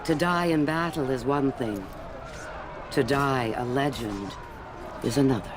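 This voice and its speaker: An actor playing a solemn chronicler of Viking legend, narrating a video game in a measured manner. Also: male voice, mid-range voice, lightly acted. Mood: solemn, reverent. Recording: noisy ambience behind.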